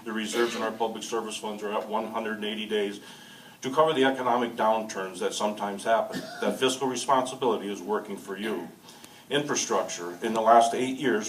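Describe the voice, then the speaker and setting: Guy reading his debate speech, measured and formal, mic far off in a roomy echoey hall with faint noise. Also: mid-range voice. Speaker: incumbent mayor candidate. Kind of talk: reading a prepared debate statement. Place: public forum room.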